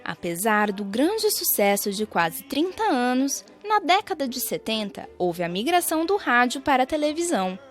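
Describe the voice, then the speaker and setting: A woman's voice: sits high, sing-song, moderate pace, hardly any pauses, soft background music. A young Brazilian woman reading scripted narration for a radio documentary. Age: young adult.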